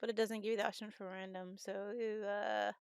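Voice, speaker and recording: A woman streaming a visual novel, reading the text aloud haltingly and stumbling over words as she sounds them out. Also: mid-range voice, little pitch movement, vocal fry, darkish tone, clear, resonant tone, no echo, studio quality. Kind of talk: halting read-aloud of game text with casual chat.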